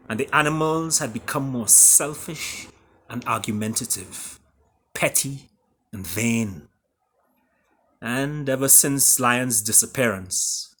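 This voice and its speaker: Slow, theatrical Trinidadian man storytelling. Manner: theatrical, with booming emphasis and hushed drops. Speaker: author and storyteller. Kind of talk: dramatic storybook reading and introduction.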